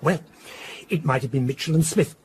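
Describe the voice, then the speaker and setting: An actor playing an excitable, scatterbrained mystery writer with an English accent, effusive and rambling, in a radio drama. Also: male voice, a little high, moderate pace, slight background noise, lightly acted. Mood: musing, flustered.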